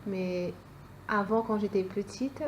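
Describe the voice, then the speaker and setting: A woman's voice: medium pitch, slow pace, a little hiss or hum. A woman in her twenties from France, giving short plain interview answers with occasional fillers. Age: 20s.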